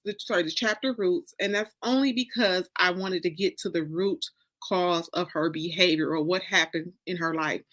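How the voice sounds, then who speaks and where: A woman's voice: medium pitch, a bit bright. An American woman from Detroit hosting as an author, chatting casually to her viewers and repeating phrases for emphasis. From Detroit, United States. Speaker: host and author.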